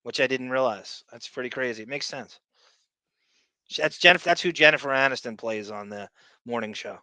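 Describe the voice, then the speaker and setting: Man, podcast host, bright crisp voice, dry close sound, opinionated, chatty. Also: mid-range voice, no echo. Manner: chatty, opinionated, quick asides. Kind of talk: casual chat reacting to clips.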